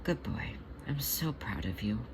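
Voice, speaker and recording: Narrator acting a motherly female cat, gentle, hushed, soothing caretaker, storybook delivery, some background noise. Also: mid-range voice, expressive, a little slow, lightly acted. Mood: soft reassurance. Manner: soft, soothing, coaxing.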